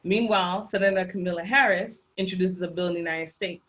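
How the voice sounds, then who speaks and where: A woman's voice: fairly low pitch, quick pace, a bit bright, muffled recording. A woman with a Haitian accent presenting a housing rights workshop over slides, emphatic and repeating her key points. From Haitian background.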